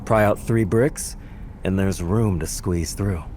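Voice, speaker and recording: An actor playing a cunning, confident mastermind in a historical Chinese game drama, talking smoothly and persuasively. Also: male voice, low-pitched, slow pace, darkish tone, slight background noise. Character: cunning, persuasive man masterminding a palace heist.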